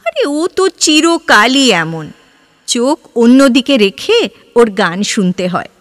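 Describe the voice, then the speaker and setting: A woman's voice: fairly high pitch, sing-song, takes it slowly, a bit bright, dry recording, studio quality, full character voice. An actress playing an ardent, wistful young woman in a Bengali audio drama, with hesitant repeats and emotional exclamations. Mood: eager, wondering. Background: background music.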